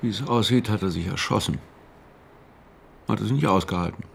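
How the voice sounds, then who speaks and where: A man playing a calm, stoic sceptic in a radio drama, speaking in a measured, dry, matter-of-fact way. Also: lowish voice, vocal fry, a little hiss or hum.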